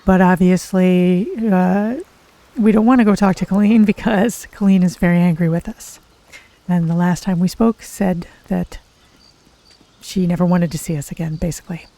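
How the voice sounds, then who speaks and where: A game streamer with a soft-toned, mellow, mid-range voice gives a casual story recap. The delivery is thoughtful and a little hesitant, addressed to viewers. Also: mid-range voice, steady tone, normal speed.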